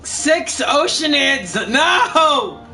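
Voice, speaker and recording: A man live-streaming a video game, reacting in drawn-out exclamations. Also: extremely high pitch, animated, bright, forceful tone, far from the mic, slight background noise.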